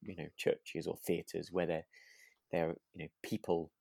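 Male co-host, low pitch, dry studio-like sound, thoughtful casual conversation. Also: little pitch movement, a little slow, gravelly, dark tone, husky, no echo. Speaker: podcast co-host. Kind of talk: relaxed casual chat.

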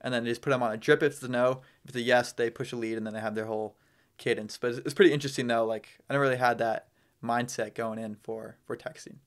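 A man's voice: mid-range voice, steady tone, fast. A man with a mid-range voice speaks as a guest in a casual podcast chat. He explains things loosely and informally, peppering his sentences with slang and filler. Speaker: podcast guest, real estate business owner.

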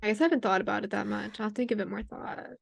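Young woman, podcast co-host, gravelly fry, dry close sound, hesitant filler-heavy chat. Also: mid-range voice, fairly even intonation, very fast, no echo. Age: young adult. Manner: casual, hesitant, lots of fillers. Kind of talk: casual chat.

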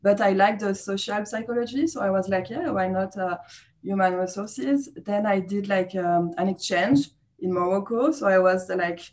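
A woman's voice: mid-range voice, normal speed, a little mellow. A woman in her thirties from France with a French accent, chatting casually as a podcast guest, laughing often and using many fillers. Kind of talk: casual interview chat.

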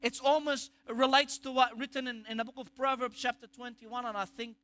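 A man's voice: very high-pitched, little pitch movement, a little fast, pushed and bright. A man with an Egyptian accent preaching a sermon, emphatic, asking rhetorical questions and restarting phrases. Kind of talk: sermon on scripture.

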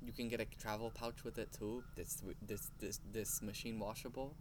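A man's voice: lowish voice, flat delivery, normal speed, noisy background. A young man co-hosting a casual podcast chat outdoors, easygoing and full of "like" and "you know". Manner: easygoing, filler-heavy with lots of "like" and "you know". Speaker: podcast co-host. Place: outdoors near trees.

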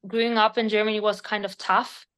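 A woman from Spain with a Spanish accent, a native Spanish speaker in her thirties, talking as a podcast guest in a direct, expressive way with hesitant fillers. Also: mid-range voice, fairly quick. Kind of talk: casual interview conversation.

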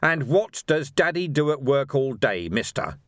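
A man's voice: mid-range voice, big pitch swings, no echo, studio quality, voice pushed up. A man doing a hard, sardonic police sergeant, swooping emphasis. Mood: menacing. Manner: forceful, deliberate, mock-polite. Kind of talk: audiobook character voice.

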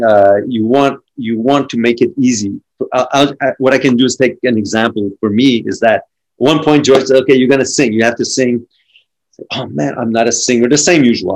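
A middle-aged man from France with a French accent, a drummer chatting casually and telling animated stories full of restarts. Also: medium pitch, low-quality recording. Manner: animated storytelling with frequent restarts. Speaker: drummer and podcast guest. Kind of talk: casual conversation.

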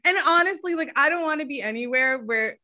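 Bright, cheeky American-raised woman host. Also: sits high, animated, brisk. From United States. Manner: upbeat, playful, cheeky. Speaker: podcast host. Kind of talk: casual chat.

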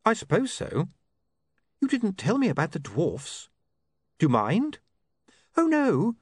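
A man's voice: high-pitched, huge pitch swings, slow pace, breathy edge, no echo, voice pushed up, exaggerated voice. A man voicing a prim and proper young woman reporter who speaks precisely and earnestly.

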